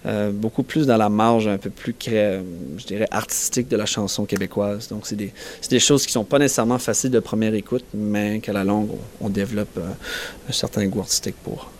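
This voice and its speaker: A young man with an Acadian French accent speaks in a lowish voice. He pauses a lot and restarts phrases as he hunts for words. He talks casually, as a musician answering questions at a festival. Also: steady tone, slight background noise. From New Brunswick, Canada. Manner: halting, searching for words, many restarts. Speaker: singer-songwriter guest.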